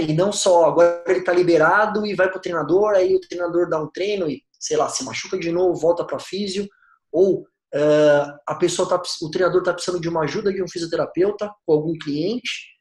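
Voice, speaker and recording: A man's voice: high voice, brisk. A man from Brazil, introducing a discussion in a friendly, explanatory way. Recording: roomy echoing room.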